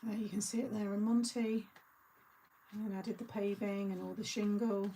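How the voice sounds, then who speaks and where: A woman's voice: medium pitch, steady tone, moderate pace, dark tone, a little hiss or hum, poor audio. A middle-aged woman hosting a craft channel, chatting casually and rambling through asides with frequent laughter. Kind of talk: casual live chat.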